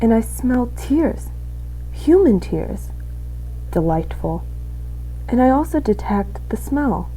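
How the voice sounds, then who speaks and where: Girl reading as a creepy one-eared dungeon rat guy, slow n gleeful, bit of background noise. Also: fairly high pitch, big pitch swings, mellow, voice pushed up, poor audio. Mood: gleeful relish. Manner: savors words slowly, theatrical self-questioning.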